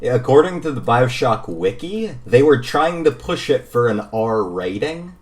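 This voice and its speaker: Male gaming podcast co-host, mid-pitched, informal conversational delivery, slightly halting and self-correcting. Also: big pitch swings, darkish tone. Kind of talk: casual gaming chat.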